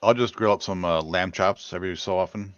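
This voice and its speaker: A man's voice: low voice, steady tone, a little fast, dry recording, lo-fi sound. A man co-hosting a casual online cooking chat, easygoing and hesitant. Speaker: co-host.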